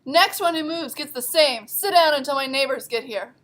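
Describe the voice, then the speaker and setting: A woman's voice: sits high, expressive, moderate pace, a bit bright. A woman playing plucky, defiant colonial women in an amateur comic read-aloud. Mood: bold, determined.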